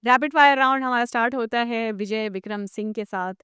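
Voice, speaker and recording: A woman's voice: on the high side, a little fast, bright, forceful tone, clean tone, no room sound, clean studio sound. A woman with an Indian accent, chatting casually as the host of an interview podcast.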